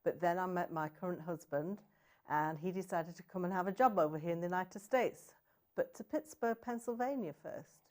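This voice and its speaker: A woman's voice: lowish voice, normal speed, darkish tone. A middle-aged woman from northern England with a Sheffield accent, chatting as a guest in an interview in an upbeat way. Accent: Sheffield English. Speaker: guest, city economic development director. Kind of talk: casual interview chat.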